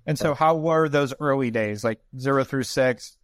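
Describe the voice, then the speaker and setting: A man's voice: medium pitch, normal speed, no echo. A man hosting a podcast interview in a casual, informal and inquisitive way, with some joking.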